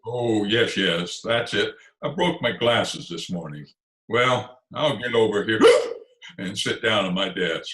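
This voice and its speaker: Casting: male actor as flustered, indignant middle-aged principal, low but cutting voice, vintage radio comedy. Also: expressive, takes it slowly, bright, forceful tone, heavily acted. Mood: dazed, flustered. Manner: blustering, indignant. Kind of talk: old-time radio comedy acting. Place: indoors, recorded remotely over video call. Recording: roomy remote video-call recording.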